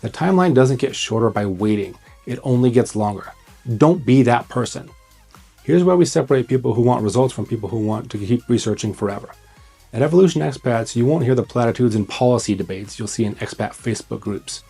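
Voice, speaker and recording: A man with a mellow, slightly husky voice delivers scripted advice as the host of an online video. He is blunt, urgent and emphatic, landing short punchy phrases. Faint music plays behind him. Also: mid-range voice, lively intonation, brisk. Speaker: host, immigration consultant.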